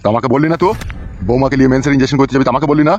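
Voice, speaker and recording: Guy doing an angry suspicious cartoon husband, rapid fire, barking n cursing, bit muffled. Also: mid-range voice, steady tone, a little mellow, slight background noise, lightly acted. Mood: suspicious, scolding. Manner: barking, accusing, foul-mouthed. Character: hot-tempered, suspicious village politician husband, gruff bully. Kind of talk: comic cartoon dialogue.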